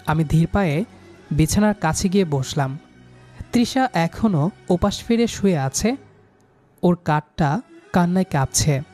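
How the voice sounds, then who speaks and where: A man's voice: a little high, animated, a little slow, pauses often, a little mellow. A man narrating a romantic story in the first person, dramatically, with an earnest and emotional delivery. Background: background music.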